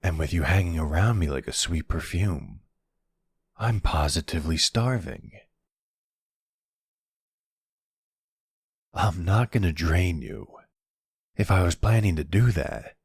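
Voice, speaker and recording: A flirty male vampire, acted by a man for an intimate ASMR roleplay, smug and sardonic. The voice is deep and gravelly, with lively, swooping intonation that teases and seduces. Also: normal speed, no room sound, studio quality, heavily acted. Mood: seductive teasing. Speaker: audio roleplay voice actor.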